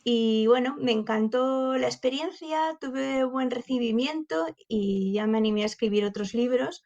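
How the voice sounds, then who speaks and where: A woman's voice: a little high, a little slow, a little mellow. A woman in her forties from Granada in Spain, speaking as a guest writer in a casual, chatty panel talk. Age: 40s. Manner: chatty, rambling with small fillers. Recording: muffled lo-fi video call.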